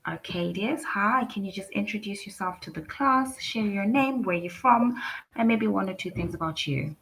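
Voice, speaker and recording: Gravelly-voiced woman teaching online. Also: medium pitch, animated, normal speed, a bit bright, low-quality recording. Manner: encouraging, gives detailed feedback. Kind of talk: live online class teaching. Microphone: video call.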